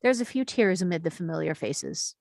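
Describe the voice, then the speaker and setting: A woman's voice: lowish voice, expressive, brisk, vocal fry, a little mellow, no echo. Woman reading screenplay directions, dry sound. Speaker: narrator reading stage directions. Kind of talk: scripted narration at a screenplay table read.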